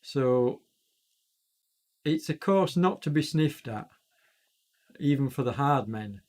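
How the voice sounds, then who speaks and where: A man hosts live commentary on an online cycling race while fighting a chest cold, so his voice sounds congested. His pitch sits in a middle register. He rambles, fills gaps with ums, and corrects himself mid-sentence. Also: lively intonation, takes it slowly, darkish tone. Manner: rambling, self-correcting, many fillers. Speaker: e-racing commentator and streamer.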